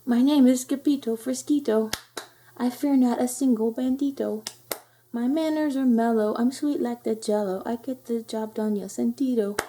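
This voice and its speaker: Woman acting excitable boy kitten, raised pitch, mock Spanish accent, exuberant, boastful swordfighter, children's storybook. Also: normal speed, a little hiss or hum. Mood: singsong boasting. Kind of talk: children's storybook read-aloud.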